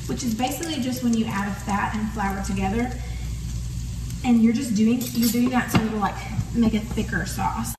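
A roomy, distant recording with heavy noise carries an American woman in her thirties. She talks viewers through a recipe in an informal, instructional way, at a middling pitch. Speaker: home cook hosting a recipe video. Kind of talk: casual cooking demonstration. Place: home kitchen, indoors. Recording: roomy, noisy kitchen, distant mic.

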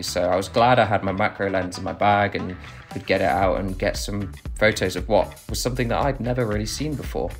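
A man giving a reflective voice-over narration for a nature photography vlog. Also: fairly low pitch.

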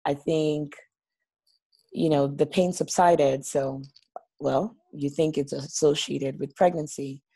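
A woman's voice: low-pitched, mellow, slightly rough. A woman in her thirties from Nigeria, a guest on a video call from her living room, telling her story openly in a chatty way with small laughs. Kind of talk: casual video-call interview. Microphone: laptop webcam on a video call.

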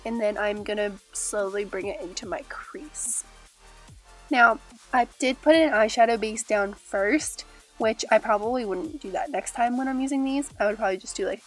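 A young woman with a stuffy, nasal voice from a cold, casually talking through a makeup tutorial step by step. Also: a little high. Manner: chatty, upbeat, describing each step. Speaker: beauty vlogger. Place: small indoor room with glitter backdrop.

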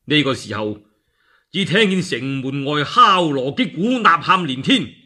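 A man's voice: fairly high pitch, measured pace. A man with a Cantonese accent telling a historical tale as a solo radio storyteller, in a colloquial, dramatic manner. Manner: animated, colloquial, acts out dialogue.

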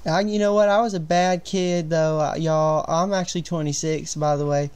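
A man's voice: a little high, fairly even intonation, moderate pace, darkish tone, clear, resonant tone. A man in his twenties hosting a casual livestream, who talks in a chatty, rambling way and voices strong opinions. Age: 20s. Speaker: livestream host.

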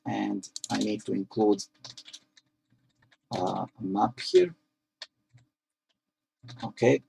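A man whose first language is Croatian, walking through a coding tutorial in a halting, explanatory way with frequent "uh" fillers, recorded at his computer. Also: lowish voice, vocal fry, a little hiss or hum, low-quality recording. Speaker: programming tutorial presenter.

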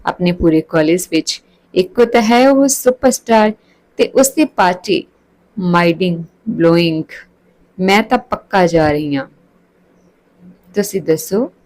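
A woman's voice: lowish voice, unhurried, pauses often, a little mellow, lightly acted, poor audio. A woman voicing an outgoing young female college student in a story, with breezy, slangy talk. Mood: excited.

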